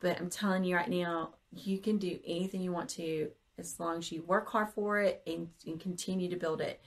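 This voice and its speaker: A woman's voice: on the low side, fairly even intonation, moderate pace. A woman hosting a podcast, talking casually in an earnest, encouraging way. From Southern United States. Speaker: podcast host. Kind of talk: casual conversational interview.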